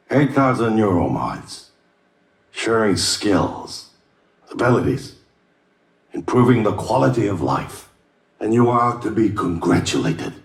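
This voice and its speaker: An actor playing a proud, polished executive addressing his staff in a formal, measured speech for a video game. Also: on the low side. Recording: echoey large room.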